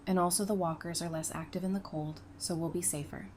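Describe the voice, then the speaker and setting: A woman's voice: fairly low pitch, steady tone, a little fast, background noise, lightly acted. A woman playing a practical, easygoing survivor in an audio drama, speaking in a matter-of-fact, conversational way. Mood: explaining.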